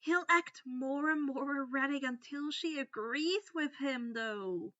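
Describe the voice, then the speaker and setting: An earnest teenage girl, acted by a woman reading aloud explains in a stern, insistent way. Her voice is high and forceful, like a determined schoolgirl in an audio story. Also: slow, muffled recording, heavily acted.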